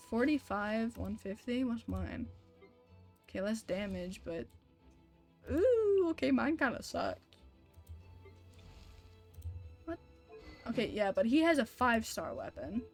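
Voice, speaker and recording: Higher-voiced woman streamer, excitable. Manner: excitable, reactive, mild swearing. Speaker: video game streamer. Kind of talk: casual live gameplay commentary.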